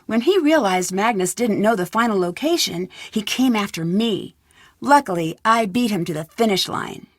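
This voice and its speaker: A woman's voice: medium pitch, lively intonation, moderate pace, no echo, studio quality, lightly acted. A woman playing a young, inquisitive American detective in a video game, speaking in an earnest, conversational way with an American accent. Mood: reflective, upbeat. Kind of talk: video game voice acting, narrated letter and dialogue.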